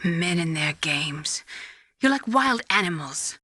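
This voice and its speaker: Bright, earnest scientist. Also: female voice, on the low side, expressive, slow pace, no room sound, clean studio sound, heavily acted. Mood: disapproving. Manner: earnest, questioning. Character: earnest, probing female genetic scientist. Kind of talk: dramatic video game voice acting.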